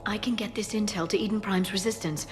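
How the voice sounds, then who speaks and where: A woman's voice: medium pitch, fairly even intonation, fast talker, soft background music, close to an everyday voice. An actress voicing an earnest, learned female scientist on a squad in a sci-fi video game. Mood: focused.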